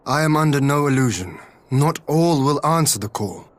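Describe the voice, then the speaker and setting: A man's voice: a little high, lively intonation, close to an everyday voice. Man playing a formal, eloquent young noble lord. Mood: resolute. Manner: formal, eloquent, measured oratory. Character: noble young eastern lord, assured, idealistic leader. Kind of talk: scripted fantasy game acting.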